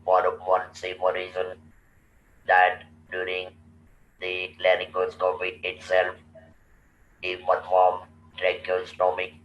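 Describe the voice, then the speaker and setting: A man, telling his own story slowly and deliberately as a guest, in a flat, low monotone voice. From India. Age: 60s. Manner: slow, deliberate, halting with restarts. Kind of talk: slow personal storytelling. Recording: roomy, distant, muffled with faint noise.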